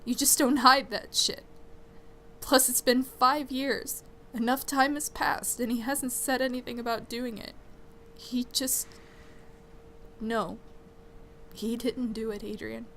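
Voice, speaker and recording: Woman playing exasperated loyal best friend, fairly high voice, emphatic, blunt, naturalistic audio drama, faint rumbling noise. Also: fairly even intonation, takes it slowly, pauses often, a bit bright. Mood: defensive, insistent.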